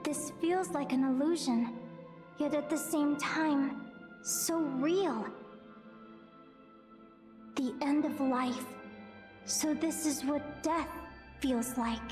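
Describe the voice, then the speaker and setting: A woman's voice: high-pitched, slow pace, long pauses, music in the background. A woman voicing a wistful explorer heroine in a dramatic video game story. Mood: fading, sorrowful.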